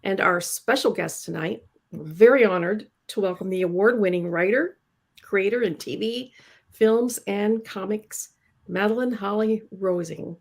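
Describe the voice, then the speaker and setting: A woman's voice: medium pitch, measured pace, a little mellow. A middle-aged woman with an American accent, hosting a live show in a casual, chatty, joking way. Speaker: host.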